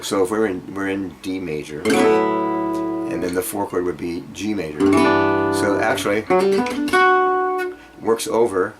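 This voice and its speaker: A man's voice: low-pitched, rough recording. A man giving an informal guitar lesson, rambling and thinking aloud in a self-deprecating way as he explains. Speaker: guitar teacher. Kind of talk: informal music workshop lesson. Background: acoustic guitar being played.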